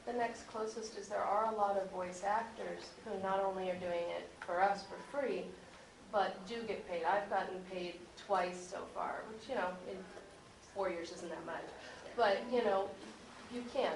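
A woman's voice: medium pitch, normal speed. A woman speaking informally as a voice actor on a panel discussion. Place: convention panel room, indoors. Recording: echoey, distant, noisy room.

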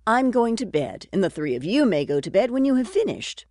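A woman playing a stern, scheming stepmother who gives orders deliberately, in a fairy tale audio drama. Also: a little high, sing-song, background noise. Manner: deliberate, commanding.

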